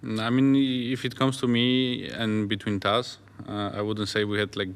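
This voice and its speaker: A man with a low, gravelly voice talks hesitantly on an esports panel, with many pauses and fillers. His voice rings in a long-reverb room. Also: flat delivery. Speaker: pro player. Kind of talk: casual esports roundtable chat.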